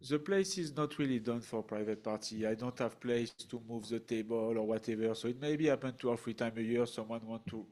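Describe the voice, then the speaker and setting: A middle-aged French chef with a strong French accent, speaking English haltingly at a public meeting, searching for words between many fillers. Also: male voice, mid-range voice, darkish tone. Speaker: restaurant applicant, chef.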